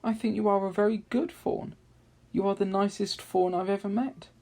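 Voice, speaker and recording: A man's voice: high voice, steady tone, normal speed, gravelly, a little mellow, voice pushed up. Storybook little girl, played by a man: kind, earnest, emphatic, voice lifted well up. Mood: reassuring.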